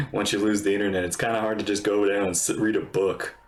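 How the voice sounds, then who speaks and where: A man's voice: on the low side, steady tone, a little fast. A man giving casual live-stream reactions to a game.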